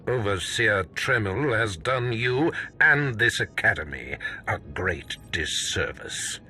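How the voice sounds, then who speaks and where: A man playing a stern, contemptuous overseer in a video game, speaking in imperious, deliberate pronouncements. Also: lowish voice, animated, bright, forceful tone, faint music behind, exaggerated voice. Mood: cold disdain. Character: stern, contemptuous Sith overseer, a cold commanding male master. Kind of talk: video game cutscene acting.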